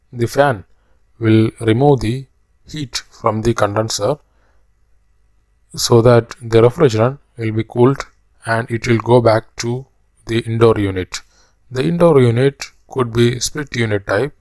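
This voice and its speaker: A man narrating a slide lecture as a technical instructor, explaining step by step in halting phrases. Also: mid-range voice, fairly even intonation, darkish tone, dry recording.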